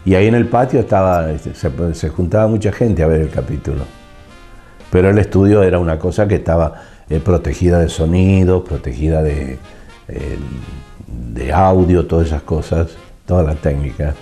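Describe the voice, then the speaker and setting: Old argentine guy from mendoza, really deep soft-edged voice, halting interview reminiscing, faint music behind. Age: older. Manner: halting, repeats words as he searches. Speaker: veteran radio drama actor being interviewed.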